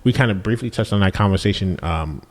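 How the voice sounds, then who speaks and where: Low-voiced man rambling in a reverberant room. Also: fast talker. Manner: rambling, repeats words, fillers, animated when asking questions. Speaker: podcast co-host. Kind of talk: casual chat.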